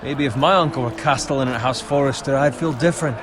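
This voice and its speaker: An actor playing a bitter, envious, foul-mouthed young squire in a medieval fantasy video game. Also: male voice, mid-range voice, fast talker, background noise, lightly acted. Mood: bitter, sneering. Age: young adult. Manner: griping, sneering, swearing. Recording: music behind the voice.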